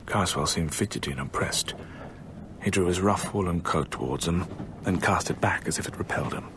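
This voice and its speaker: An actor playing a British storyteller, narrating a ghost story in a measured, suspenseful radio drama style. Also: male voice, low-pitched, noisy background, lightly acted.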